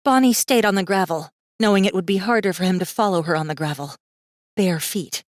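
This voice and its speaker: A woman's voice: mid-range voice, fairly quick, dry recording, studio quality. A woman narrating a fantasy audiobook in a dramatic, scripted style with tense, suspenseful storytelling.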